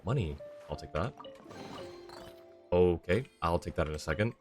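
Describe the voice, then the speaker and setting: A man's voice: low-pitched, animated, normal speed, darkish tone. A man chatting casually with viewers and muttering to himself as he commentates on his live gameplay. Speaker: live streamer. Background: game music.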